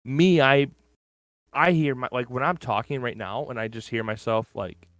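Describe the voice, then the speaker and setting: A man's voice: medium pitch, normal speed, fairly bright tone, no echo. A man co-hosting a casual podcast, rambling and filling his sentences with "like". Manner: rambling, heavy use of "like". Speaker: podcast co-host. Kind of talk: casual chat. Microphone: open-ear headphones and desk mic.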